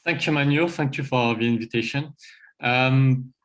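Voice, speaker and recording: A man with a French accent presenting a climate proposal on an online panel, halting and full of fillers. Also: medium pitch. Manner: halting, many fillers and restarts. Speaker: campaign presenter.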